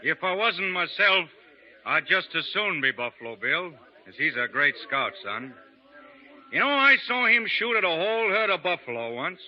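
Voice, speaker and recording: An actor playing a relaxed frontier marshal who talks in a folksy, drawling, teasing way, on a 1930s radio broadcast. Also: male voice, sits high, normal speed, muffled recording. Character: easygoing frontier marshal, a laconic adult man.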